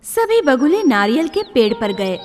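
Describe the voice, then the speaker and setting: A woman storyteller reading scripted children's tales in a deliberate, expressive manner. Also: high voice. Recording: long reverb, music behind.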